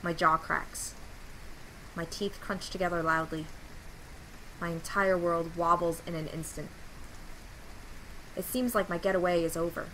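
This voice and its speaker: A woman's voice: lowish voice, fairly even intonation, unhurried, long pauses, fairly bright tone, a little hiss or hum, poor audio. A woman playing a frightened, desperate young hero in a visual novel, narrating in an emotional, melodramatic way. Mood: weak, fading. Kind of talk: dramatic first-person visual novel narration.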